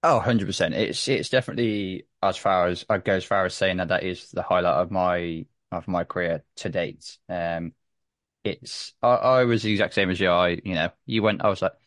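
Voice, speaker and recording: A young man with a notably low voice talks through a casual podcast chat. He stumbles, repeats words and restarts his sentences as he goes. The sound is dry and close, with no room around it. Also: steady tone, moderate pace, a bit bright, dry recording. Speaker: podcast guest.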